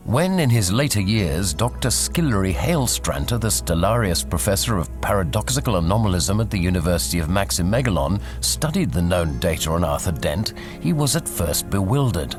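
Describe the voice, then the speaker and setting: Guy narrating, deep voice but really lively, scripted, bit of music behind. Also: normal speed, hardly any pauses. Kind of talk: scripted documentary narration and reading.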